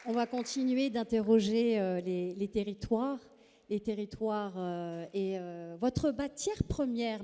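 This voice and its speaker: A woman from Morocco moderating a literary panel, hesitant and halting with many fillers. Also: medium pitch, mellow. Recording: reverberant festival hall.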